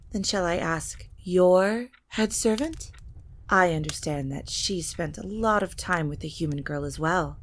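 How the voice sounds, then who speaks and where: An imperious vampire matriarch acted by a woman speaks in a low voice with measured, icy courtesy. Every phrase carries a polite threat, as in a gothic audio drama. There is clear noise behind the recording. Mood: icy, threatening. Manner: slow, deliberate, coldly polite threats. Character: imperious, menacing vampire matriarch, mother and lady of the castle. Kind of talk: audio roleplay drama acting.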